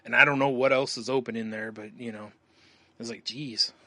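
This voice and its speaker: A man with a bright, forceful voice tells a loose, rambling story on a podcast. His voice sounds close and dry, with no room around it. He stops for filler words and laughs often. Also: medium pitch, steady tone, moderate pace, no room sound. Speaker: podcast co-host. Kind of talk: casual chat.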